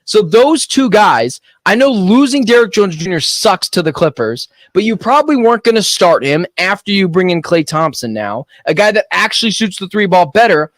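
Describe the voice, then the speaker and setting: A man co-hosting a sports betting radio show, animated and opinionated with quick joking asides, speaking into a studio microphone. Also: high-pitched, no room sound.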